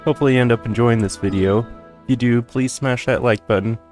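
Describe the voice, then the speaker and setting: A man's voice: medium pitch, steady tone, brisk, a little mellow, soft background music. A man giving casual, laid-back let's-play commentary as a video game streamer.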